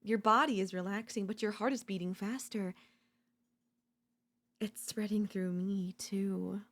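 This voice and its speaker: A woman playing an obsessive, domineering yandere in a dark ASMR roleplay, seductive and smug, slow and teasing, slipping into whispers. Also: mid-range voice, fairly even intonation. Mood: seductive whispering. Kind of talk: dark ASMR audio roleplay, intimate dramatic acting.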